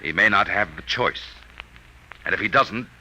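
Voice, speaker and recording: An actor playing an urgent, persuasive army major with a commanding manner in a vintage radio drama. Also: male voice, on the low side, moderate pace. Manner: forceful, commanding. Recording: slightly noisy old radio recording.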